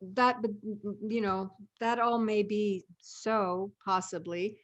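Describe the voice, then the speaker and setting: Woman musing in mid-pitched voice. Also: fairly even intonation, slow, dull audio. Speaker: writer and panel guest. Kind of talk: casual panel discussion.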